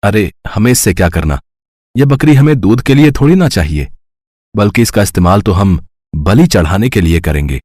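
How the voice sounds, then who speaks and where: A man's voice: lowish voice, lively intonation, moderate pace, a little mellow, dry recording, studio quality. A man playing an obedient, earnest disciple of a holy man, with a solemn manner.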